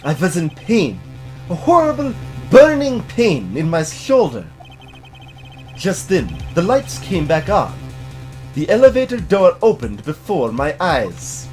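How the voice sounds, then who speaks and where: Someone putting on a theatrical vengeful old villain prosecutor, swooping pitch. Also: huge pitch swings, darkish tone, soft background music. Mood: grim, gloating. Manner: theatrical, seething, dramatic drawn-out delivery.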